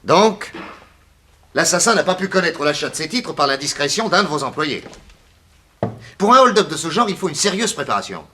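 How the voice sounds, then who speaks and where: An actor playing a self-assured private detective in a French radio drama, brisk, methodical and authoritative. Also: male voice, fairly high pitch, big pitch swings, sharp tone, a little hiss or hum. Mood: probing, assured.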